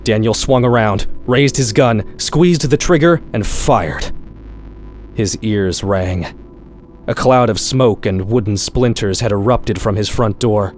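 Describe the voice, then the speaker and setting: A man reading a horror-comedy short story aloud in an expressive, wry, profane style. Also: on the low side, slow pace. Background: background music.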